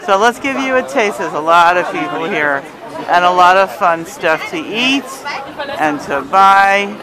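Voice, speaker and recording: A woman's voice: on the low side, animated, a little slow. A woman hosting a cheerful, chatty walking tour of an outdoor Christmas market, filmed on a handheld phone camera. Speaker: travel agent and host. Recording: busy crowd noise around. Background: crowd chatter.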